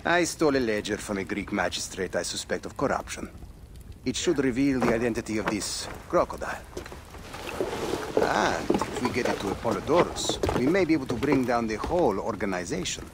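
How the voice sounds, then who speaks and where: An actor playing a wary, hunted man in a game cutscene, speaking in a grave, measured way. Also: mid-range voice, very animated, close to an everyday voice. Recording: clear background noise.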